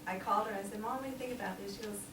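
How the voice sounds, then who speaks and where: A woman's voice: medium pitch, very fast. A woman of sixty giving an informal sermon in a small church, chatty and laughing often, with many ums. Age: 60s. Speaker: lay preacher. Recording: echoey room, distant mic, noisy.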